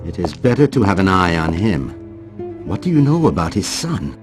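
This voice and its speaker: An actor playing a cold, calculating villain in a video game cutscene, suave and condescending. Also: male voice, deep voice, sing-song, normal speed, music in the background. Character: cold, scheming villain commanding a female spy.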